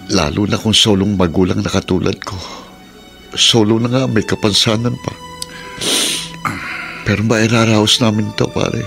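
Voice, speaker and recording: Weary filipino dad in a radio drama, heartbroken but resolute, lowish gravelly voice, music under him. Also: male voice, a bit bright. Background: background music.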